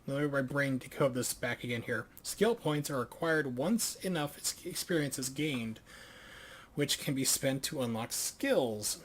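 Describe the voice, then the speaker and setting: A man streaming a video game and thinking aloud, reading tutorial text in a halting way and correcting himself as he goes. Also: medium pitch.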